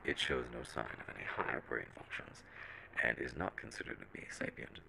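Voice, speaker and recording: An extremely low, creaky voice with a forceful edge reads out a classified horror file , acted by a man. The delivery is clinical, measured and deliberate, like a scripted audio log, with faint noise on the recording. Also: lively intonation, full character voice, poor audio. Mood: clinical, grave. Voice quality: very deep, gravelly. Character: SCP Foundation document reader and shaken field soldier.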